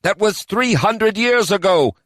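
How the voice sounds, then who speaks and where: A man's voice: high voice, expressive, a little slow, fairly bright tone, no room sound, pitched above the performer's own voice. A man voicing a thick-bodied male elf commander who is anxious and stammers when rattled. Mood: flustered.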